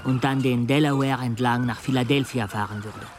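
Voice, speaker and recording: An actor playing a wry male travel companion who recounts an expedition in a radio drama with measured storytelling delivery. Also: medium pitch, fairly even intonation, background noise, lightly acted. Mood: measured, recounting. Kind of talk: radio drama narration and dialogue.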